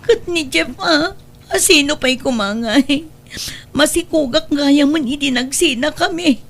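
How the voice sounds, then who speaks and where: A woman's voice: high-pitched, measured pace, a bit bright. A woman playing an aggrieved, whining young daughter with an Ilocano accent in an audio drama. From Philippines. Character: jilted, pregnant young daughter, whiny and aggrieved. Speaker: voice actor in comedy drama.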